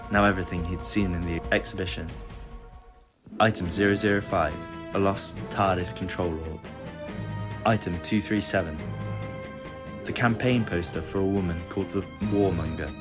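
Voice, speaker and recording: A man reading scripted narration for an adventure audio story. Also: deep voice.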